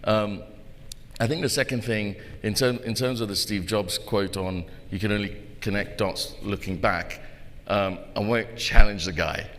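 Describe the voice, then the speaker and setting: A man speaking reflectively as a conference panelist, halting and repeating words as he thinks, recorded in a live hall. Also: on the low side, steady tone, a bit bright. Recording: echoey hall with background noise.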